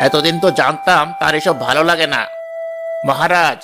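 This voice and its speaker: A man's voice: a little high, normal speed, music in the background, close to an everyday voice. A man playing a surprised, respectful royal courtier in a cartoon fairy tale.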